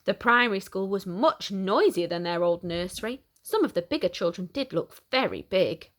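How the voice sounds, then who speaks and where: Very lively woman reading to children. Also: medium pitch, moderate pace. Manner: animated, simple questioning aimed at small children. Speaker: children's story reader. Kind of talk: expressive read-aloud of a picture book for young children.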